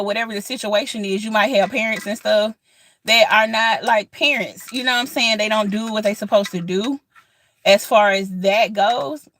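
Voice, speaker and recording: A woman's voice: medium pitch, a bit bright. A woman in her thirties chatting casually outdoors, earnest and encouraging, repeating herself with lots of fillers. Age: 30s. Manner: earnest, repetitive, encouraging, colloquial with many fillers. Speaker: vlogger. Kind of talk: casual outdoor vlog chat. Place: outdoors in sunlight.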